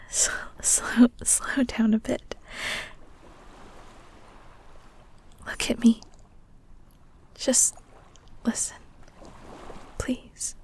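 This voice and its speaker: A woman playing an earnest, vulnerable, secretly lovestruck married woman of the 1940s in an intimate romantic audio roleplay, with breathy, halting, half-whispered delivery. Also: a little high. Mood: breathless, tender.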